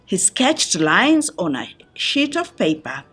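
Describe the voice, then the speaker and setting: Female children's book reader, unhurried and expressive, pausing for effect, a touch breathy, with music in the background. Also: mid-range voice. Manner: deliberate phrasing with dramatic pauses for young listeners. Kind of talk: expressive picture-book read-aloud.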